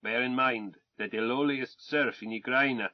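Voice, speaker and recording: A man's voice: mid-range voice, fairly even intonation, a little slow, no echo, close to an everyday voice. A man voicing an arrogant, blustering older colonel, speaking in a pompous, commanding way. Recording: dull, dry sound.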